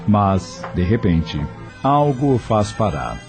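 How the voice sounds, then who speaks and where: A man narrating a radio drama from a script in an expressive, literary storytelling manner. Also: lowish voice, takes it slowly, over music.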